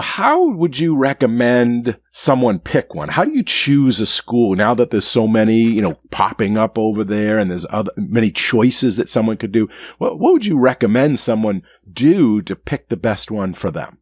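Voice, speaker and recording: A man's voice: mid-range voice, very animated, normal speed, hardly any pauses, no room sound, clean studio sound. A man hosting a podcast, asking questions in a casual, friendly way and thinking aloud. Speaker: podcast host.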